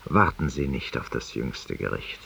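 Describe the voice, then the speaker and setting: A man's voice: rumbling low, expressive, a little slow, gravelly, bright, forceful tone, a little hiss or hum, exaggerated voice. An actor playing a world-weary, eloquent, guilt-ridden man who confesses intimately to an unseen companion in a 1950s radio drama monologue. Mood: confiding, weary. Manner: intimate, rhetorical, addressing an unseen companion, sometimes dropping to a whisper. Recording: muffled, distant 1950s radio recording.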